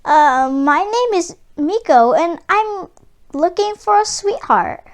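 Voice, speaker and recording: A woman's voice: very high voice, animated, slow pace, voice pushed up, heavily acted. A woman playing a polite, hesitant young man, a luckless suitor in a storybook tale, with a mild manner.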